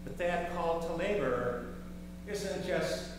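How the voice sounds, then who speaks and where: An older man preaching a sermon from a pulpit, slow and measured, with rising emphasis on key words. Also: fairly high pitch, distant mic. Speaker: pastor. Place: large church sanctuary, at a pulpit. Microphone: far camera in the sanctuary. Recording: echoey church hall from afar, background hum.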